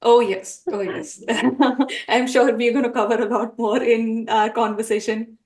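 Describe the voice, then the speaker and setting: Podcast host: woman, slightly high voice, conversational interviewing. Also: steady tone, a little slow, a little mellow.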